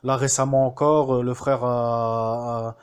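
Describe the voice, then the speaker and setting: Un homme français qui témoigne de manière spontanée, en hésitant souvent et en reprenant ses phrases. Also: male voice, medium pitch, little pitch movement, unhurried, clear, resonant tone. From France. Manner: hesitant, many fillers and restarts. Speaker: student giving a testimonial. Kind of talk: casual spoken testimonial.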